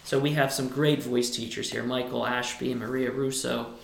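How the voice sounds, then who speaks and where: A man's voice: flat delivery, normal speed, creaky. Choir director, male tenor, talks in loose rambling answers, roomy sound. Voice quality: high tenor speaking voice. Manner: thoughtful, rambling with restarts. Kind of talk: relaxed interview answers.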